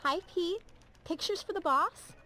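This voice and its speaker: A woman's voice: extremely high pitch, measured pace, pushed and bright, faint music behind, exaggerated voice. A woman playing a friendly newspaper office secretary in a video game. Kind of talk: video game cutscene acting.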